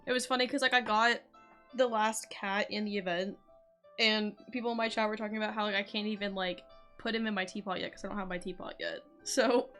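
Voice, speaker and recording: Girl streaming a game, casual chatty talk, normal pitch, music in the background. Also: steady tone, brisk, fairly bright tone. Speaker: video game livestreamer. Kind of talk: casual gaming livestream chat.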